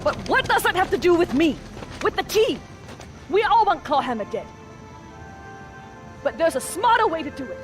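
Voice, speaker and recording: A defiant lieutenant argues bluntly in a high voice with lively swings of pitch. She is skeptical and challenging, a game cutscene soldier with music behind her. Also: normal speed, pauses often. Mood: exasperated. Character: defiant, skeptical female special forces lieutenant. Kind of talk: video game cutscene voice acting.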